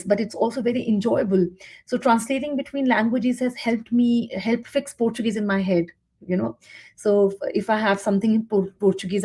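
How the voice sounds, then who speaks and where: Woman, middle-aged, mellow, husky, thoughtful, halting. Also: mid-range voice. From India. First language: Hindi. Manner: thoughtful, with many fillers and restarts. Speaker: guest writer and translator. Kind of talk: conversational interview answers.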